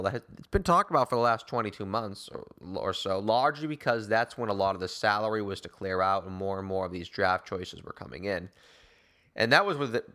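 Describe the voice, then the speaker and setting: A man's voice: fairly low pitch, bright, forceful tone. An American man hosting a sports podcast, talking in wordy, rhetorical, ornate phrases as he gives commentary and reads promos.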